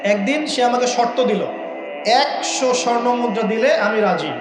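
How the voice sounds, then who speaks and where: A man's voice: extremely high pitch, moderate pace. A man delivering an Islamic sermon with storytelling, emphatic and rhetorical, repeating key phrases and putting questions to his listeners. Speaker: Islamic preacher. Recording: echoing voice with music laid under. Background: background music.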